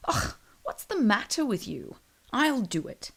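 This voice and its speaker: A woman's voice: fairly high pitch, big pitch swings, a little slow, creaky, breathy edge, pitched above the performer's own voice, heavily acted. A woman voicing a haughty, impatient young princess in a storybook, dismissive and demanding. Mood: irritated.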